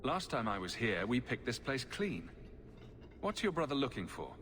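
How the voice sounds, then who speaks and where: An actor playing a wry, self-assured adventurer hero in a video game, speaking bluntly. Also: male voice, medium pitch, sing-song, normal speed, fairly bright tone. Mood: resolute. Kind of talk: video game cutscene acting. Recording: noisy ambience behind the voice.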